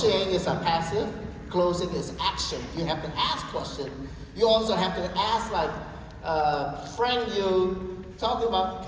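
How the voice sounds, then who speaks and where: Man, sales trainer, joking storyteller, far from the mic, heavy hall echo, background noise. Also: high voice. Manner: joking, storytelling, keeps checking in with the audience. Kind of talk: motivational sales training talk.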